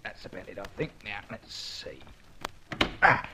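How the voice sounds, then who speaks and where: An actor playing a humble, wily reformed safecracker in an old-time radio drama, colloquial and muttering. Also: male voice, fairly low pitch, lively intonation, measured pace. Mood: sly, amused. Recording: dull, faintly noisy old radio recording.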